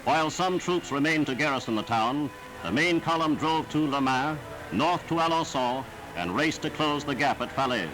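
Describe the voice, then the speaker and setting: A man's voice: fairly high pitch, lively intonation, moderate pace, over music, poor audio. A man reading scripted 1940s wartime newsreel narration in a formal, dramatic, declamatory manner. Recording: vintage 1940s newsreel soundtrack.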